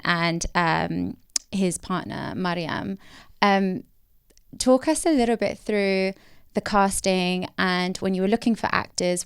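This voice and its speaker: A woman's voice: mid-range voice, creaky, clear, resonant tone, dry recording, studio quality. A woman playwright from London moderating a post-screening Q&A, gracious and effusive, with frequent ums. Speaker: playwright hosting a Q&A. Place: cinema auditorium.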